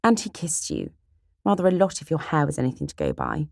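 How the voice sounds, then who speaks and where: A woman's voice: low-pitched, huge pitch swings, a little fast, vocal fry, a little mellow, dry recording, clean studio sound, voice pushed up, heavily acted. A woman voicing an outspoken, impatient young sister in a period romance audiobook, with a blunt, emphatic delivery. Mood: impatient, teasing.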